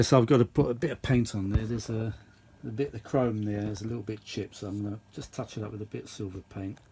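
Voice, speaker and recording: British man chatting over clear background noise. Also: fairly low pitch, darkish tone. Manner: rambling, muttering asides to himself. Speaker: vintage audio hobbyist and video host. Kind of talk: casual hands-on demonstration chat.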